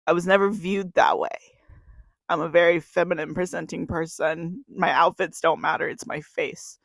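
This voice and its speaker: A woman's voice: lowish voice, gravelly, no room sound. A 21-year-old woman, crying as she reads a confessional spoken-word poem to camera, with a repeating refrain. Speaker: YouTuber.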